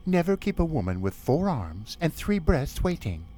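A man's voice: a little high, sing-song, moderate pace, mellow. A man playing an irritable, ambitious starship first officer in a comic radio play. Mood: excited, irritated. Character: irritable, scheming first officer, male, hungry for command. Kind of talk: parody radio play acting.

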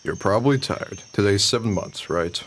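A man's voice: on the low side, moderate pace, fairly bright tone. A man playing a weary, irritable young schoolteacher husband in a radio drama, grumbling and exasperated. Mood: concerned. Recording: faint background noise.

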